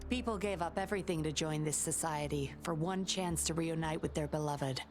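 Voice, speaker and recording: A woman playing a composed, sly undercover patroller in a video game, coolly confident and measured. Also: deep voice, natural voice. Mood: serious, cool. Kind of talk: scripted video game voice acting. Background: game music.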